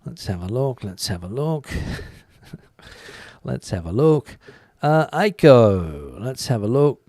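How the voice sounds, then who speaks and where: A man's voice: mid-range voice, very animated, slow pace, darkish tone, no echo, clean studio sound. A man hosting a live stream, talking through the news in a casual, conversational way and musing as he answers viewers. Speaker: host of a live commentary stream. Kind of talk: casual news commentary, reading out viewer comments.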